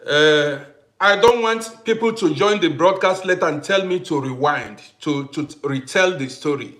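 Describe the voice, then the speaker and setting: A man hosting a casual livestream who greets viewers by name, repeats himself, and swings between loud bursts and quiet asides. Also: fairly high pitch, measured pace. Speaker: livestream host.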